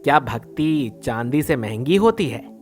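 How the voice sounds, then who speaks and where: A man's voice: mid-range voice, animated, measured pace, faint music behind, lightly acted. A man playing a greedy village thief in a devotional story, brash, colloquial and grumbling. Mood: eager, curious.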